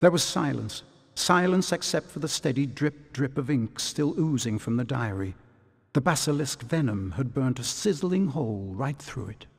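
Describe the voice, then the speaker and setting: A man's voice: medium pitch, expressive, gravelly, breathy edge. Male storybook narrator in long reverb. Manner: measured, dramatic storytelling. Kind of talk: scripted storybook narration for a video game.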